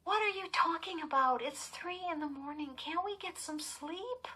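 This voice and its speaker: Woman as a teasing, high-voiced prankster girl. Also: very animated, takes it slowly, bright, forceful tone, heavily acted. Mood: sleepy, dismissive. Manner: casual, offhand, taunting. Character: teasing, mischievous young girl, the prankster twin.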